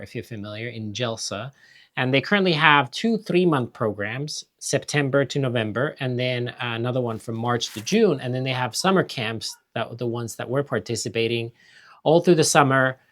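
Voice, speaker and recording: Chatty Finnish-born man hosting a vlog. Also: medium pitch. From Finland. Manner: relaxed, chatty, with fillers. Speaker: vlog host. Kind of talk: casual chat.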